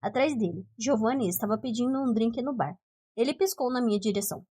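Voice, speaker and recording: A woman with a Brazilian accent narrating first-person fiction from a script as an audiobook reader, with expressive storytelling. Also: a little high, fairly quick, breathy edge, no room sound, studio quality.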